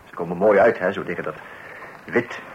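A man's voice: low voice, fairly quick, natural voice. An actor playing a family man, a husband and father, in a radio play. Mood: affable, fond. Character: husband and father, mild but easily exasperated. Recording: dull, noisy old radio recording.

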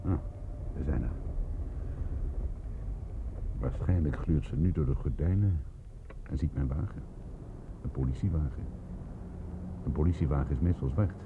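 A shrewd radio-drama police inspector with a very low voice. He is brusque, questioning and dryly ironic. The old recording is muffled, with clear noise behind him. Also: normal speed, pauses often. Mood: dry, knowing. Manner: clipped questioning, dry irony. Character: shrewd, dry-witted male police inspector, brusque but helpful. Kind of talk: Dutch radio play acting.

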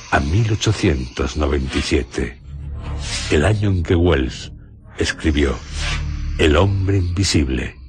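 Very deep, slow male radio storyteller. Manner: deliberate, dramatic short phrases with pauses. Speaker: radio drama host and narrator. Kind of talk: scripted literary narration, suspenseful storytelling. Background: faint music.

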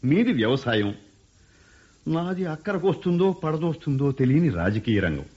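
An actor playing a calculating son-in-law in politics, talking persuasively in a radio play on a dull lo-fi recording. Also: male voice, fairly high pitch, very animated, normal speed, darkish tone, slightly rough. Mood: earnest. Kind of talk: 1970s-style radio play acting.

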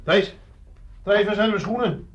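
An actor playing an irritable, sarcastic middle-aged husband, bickering in a comic radio drama. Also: male voice, sits high, a little slow, muffled recording, soft background music. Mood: grumbling, sarcastic.

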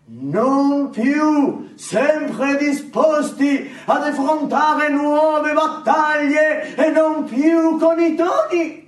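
A man with a Sicilian accent declaiming a theatrical monologue loudly and emphatically, as a solo stage storyteller. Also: very high-pitched. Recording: roomy echoing stage, distant microphone.

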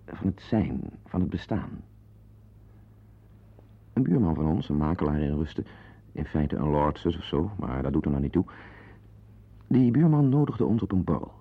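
Hectoring husband, creaky, husky, digressive, sardonic, neurotic, old radio play. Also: male voice, low voice, sing-song, normal speed, long pauses, narrow, tinny audio, heavily acted. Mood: musing, confiding. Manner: digressive, sardonic, prone to outbursts. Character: bitter, neurotic, hectoring husband confiding in his listeners. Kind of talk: radio play monologue and dialogue acting.

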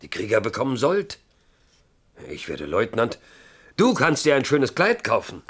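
An actor playing a proud, pragmatic Dakota chief and father in a German radio drama, grave and measured. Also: medium pitch, wildly swooping pitch, fairly bright tone, breathy edge, full character voice. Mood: defensive, firm.

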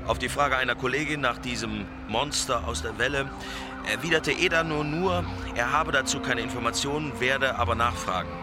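A man's voice: medium pitch, normal speed, over music, lightly acted. An actor playing a male news correspondent giving a sober, formal, factual live report in a radio play. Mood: sober, grave. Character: male German TV correspondent reporting live from disaster-struck Tokyo.

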